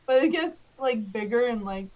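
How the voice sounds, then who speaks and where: A woman's voice: a little high, lively intonation, normal speed, lo-fi sound. A young woman narrating as game master in a tabletop game, hesitant with many fillers.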